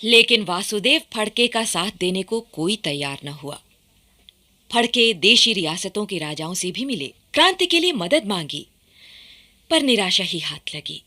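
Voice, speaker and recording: A woman's voice: medium pitch, animated, a little slow, bright, forceful tone. A woman giving scripted, dramatic narration for a radio play.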